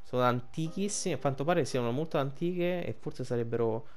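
A man giving casual, informal game commentary, halting and correcting himself as he translates dialogue on the fly. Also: mid-range voice, darkish tone, a little hiss or hum. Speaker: video game streamer.

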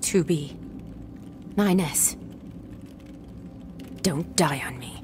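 A woman's voice: low-pitched, extremely slow, darkish tone, a little hiss or hum. A woman playing a stoic young female android soldier in a video game, clipped, formal and restrained. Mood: controlled, terse.

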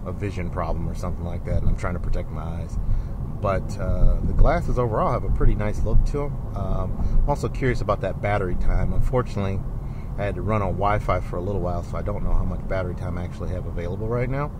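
A man's voice: low voice, steady tone, quick pace. A man giving a casual, rambling spoken review from inside his car while he drives, with many ums and uhs. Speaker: vlogger reviewing camera glasses. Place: inside a car, driving in rain. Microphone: built into camera glasses he wears. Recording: loud car noise from glasses-mounted camera. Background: car and road noise.

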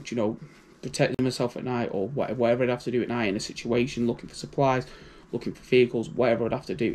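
A man's voice: mid-range voice, darkish tone, a little hiss or hum. A man with a British accent giving a casual, rambling walkthrough of his gear, often adding "you know". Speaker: prepping channel host.

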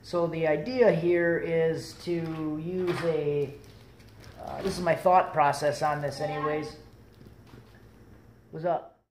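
A young man talking through a welding project in his home garage, relaxed and thinking aloud as he works, recorded on a camera mic that drifts near and far. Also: a little high, slow, pauses often, a little hiss or hum. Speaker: DIY welding vlogger. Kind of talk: casual how-to narration. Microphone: camera mic, moving between close and far.

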